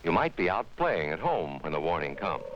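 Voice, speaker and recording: A man's voice: sits low, big pitch swings, a little fast, heavy background noise. An American man narrating a 1950s educational film, speaking to children in an instructive, emphatic manner. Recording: dull vintage 1950s film soundtrack.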